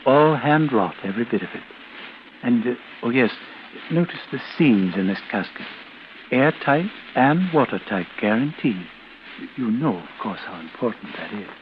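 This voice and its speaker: A man's voice: mid-range voice, expressive, moderate pace, natural voice. Actor doing oily polite undertaker guy, old radio mystery, salesman patter, muffled w some hiss. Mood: unctuous, persuasive. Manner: smooth salesman patter, solemnly courteous. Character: unctuous, solicitous mortician selling caskets. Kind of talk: 1940s radio drama acting.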